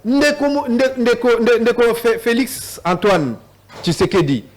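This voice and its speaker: A man's voice: extremely high pitch, big pitch swings, unhurried. A man with a Congolese French accent, a guest in a TV political debate, mixing French with Lingala and stumbling over repeated words.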